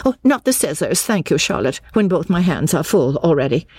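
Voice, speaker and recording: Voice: medium pitch, big pitch swings, fairly quick, a little mellow, breathy edge, no echo, studio quality, voice pushed up. A reader voicing a cross, flustered middle-class English matron who fusses in breathless exclamations, for an audiobook. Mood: cross, fussing.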